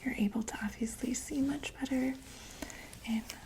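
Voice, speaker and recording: A young woman whispering softly as a doctor in a close-miked ASMR roleplay, reassuring and full of small fillers. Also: high-pitched, fairly even intonation, background noise. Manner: soft, slow, reassuring bedside whisper with many fillers. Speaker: ASMR creator playing a monster doctor.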